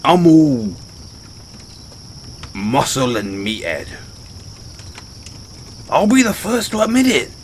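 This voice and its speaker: Man playing a halting, animated British orc barbarian. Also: medium pitch, slight background noise. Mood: self-deprecating. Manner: halting, stammering, blunt and self-deprecating. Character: gruff but sheepish, dim orc barbarian adventurer, adult male. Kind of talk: fantasy audio roleplay, speaking to a companion. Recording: outdoor night ambience with crickets.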